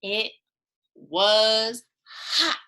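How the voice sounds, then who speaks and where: Female storybook reader, teacher-like, higher bright voice, expressive and playful delivery for young children. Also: extremely slow, clear, resonant tone. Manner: playful, expressive, with whispered asides to young listeners. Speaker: early childhood teacher reading a picture book aloud. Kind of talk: animated children's storybook read-aloud.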